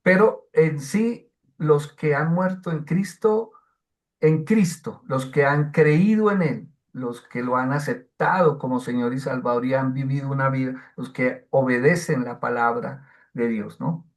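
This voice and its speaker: A man teaching the Bible, answering questions in an online class, slow and hesitant with many fillers and restarts. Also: mid-range voice.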